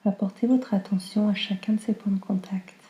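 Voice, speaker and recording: A woman leads a guided meditation in a very mellow, hushed and breathy voice. Her delivery is calm and slow, with little melodic movement. The sound is roomy and echoing, and she soothes as she instructs. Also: medium pitch. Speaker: yoga nidra guide.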